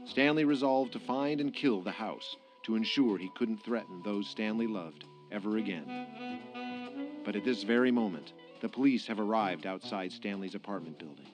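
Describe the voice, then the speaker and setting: A man's voice: fairly low pitch, normal speed, poor audio. Male radio drama narrator over music. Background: prominent music.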